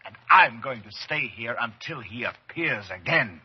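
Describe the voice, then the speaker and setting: A man playing an obsessive, excitable ghost-hunting doctor in a radio horror drama, speaking in a theatrical, halting, fervent way. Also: mid-range voice, natural voice. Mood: fervent excitement. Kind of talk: old time radio horror acting. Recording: dull, hissy old radio recording.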